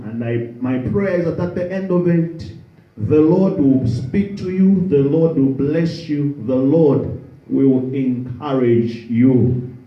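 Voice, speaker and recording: Slow, earnest preacher in echoey hall. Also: male voice, mid-range voice, distant mic, lo-fi sound. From Zambia. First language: Tonga. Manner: slow, earnest, repeats key phrases. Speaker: preacher, theology student. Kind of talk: sermon. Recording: echoey room.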